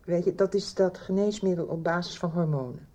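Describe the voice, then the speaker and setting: A woman's voice: fairly low pitch, steady tone, fairly quick, mellow, lightly acted. An actress playing a worried woman confiding in a friend in a radio drama. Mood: concerned, explaining. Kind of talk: 1990s radio drama acting.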